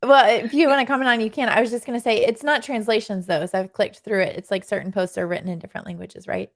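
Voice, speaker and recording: Curious woman podcast host, gravelly. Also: mid-range voice, fairly even intonation, quick pace. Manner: curious, self-deprecating questions. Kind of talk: casual interview chat.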